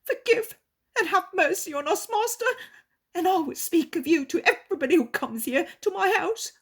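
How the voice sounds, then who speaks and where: A woman's voice: extremely high pitch, expressive, normal speed, voice pushed up. A woman voicing a repentant middle-aged male innkeeper who pleads fervently and tearfully. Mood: pleading.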